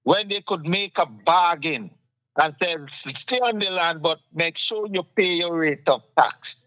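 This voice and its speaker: Guyanese guy ranting about politics, kinda high voice, lots of "who is worse" style questions, dry close mic. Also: measured pace, pushed and bright, no room sound, rough recording. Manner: passionate, rhetorical questions. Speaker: talk show host. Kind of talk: casual political chat.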